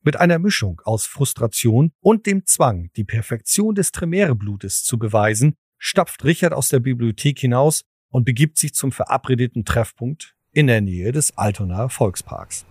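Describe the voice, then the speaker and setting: A man's voice: mid-range voice, animated, normal speed, few pauses, a little mellow, husky, dry recording. Dry, close-recorded male narrator. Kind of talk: scripted audio drama narration.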